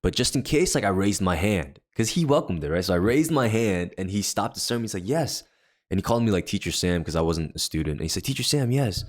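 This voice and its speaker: A young man with a naturally deep, low-pitched voice tells a personal story on a casual faith podcast. He rambles and restarts, packing his sentences with fillers as he goes. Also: animated, fast talker. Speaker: podcast co-host.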